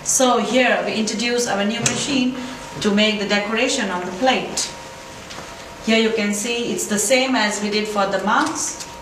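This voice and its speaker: A woman in her thirties giving a deliberate, instructional scripted product demonstration in a showroom, picked up by a distant camera mic. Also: fairly high pitch, steady tone. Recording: echoey, distant camera mic, noisy room.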